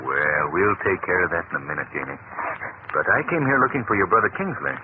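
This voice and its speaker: Through a tinny, noisy old radio recording comes a well-off man about town. His delivery is playful and kindly, with wide swings in pitch in 1940s radio drama manner. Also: sing-song, quick pace. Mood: kindly reassuring. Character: urbane well-off clubman, playfully kind and reassuring.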